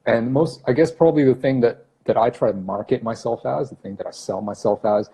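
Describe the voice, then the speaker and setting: A man's voice: mid-range voice, a little fast, dark tone, breathy edge. A man in his thirties from Brunei, whose first language is not English, talks casually on a livestream as an English teacher, often correcting himself and greeting viewers by name. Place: small office room indoors. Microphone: phone camera at arm's length.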